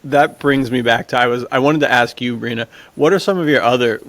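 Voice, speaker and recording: Creaky-voiced man in casual chat. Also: medium pitch, a little fast, fairly bright tone. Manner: informal, enthusiastic, says dude often. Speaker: podcast host.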